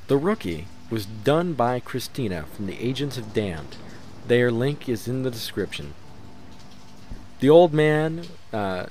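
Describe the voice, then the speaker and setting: A man's voice: lowish voice, darkish tone. A man speaking as a show's creator, giving casual closing credits in an eager, self-deprecating way. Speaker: creator, writer and director.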